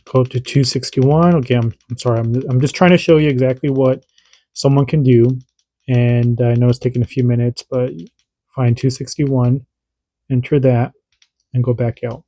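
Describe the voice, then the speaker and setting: Casual male tutorial host, warm low-key tone, dry close sound, rambling explainer. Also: steady tone, moderate pace, no echo. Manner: chatty, repeats himself, radio checks. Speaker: radio hobby channel host.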